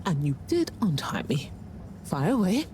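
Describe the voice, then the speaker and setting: Haughty female executive, lowish voice. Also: big pitch swings, a little slow, background noise. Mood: smug. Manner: haughty, smug, dry. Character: imperious, haughty female ship CEO, middle-aged. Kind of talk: scripted video game dialogue.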